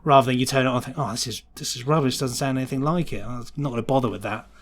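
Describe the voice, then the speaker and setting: A man with a medium-pitched voice talks as a guest in a relaxed interview. He is chatty and enthusiastic, and likes to tag questions onto what he says. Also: racing. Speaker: podcast guest, sound designer. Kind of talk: casual interview chat.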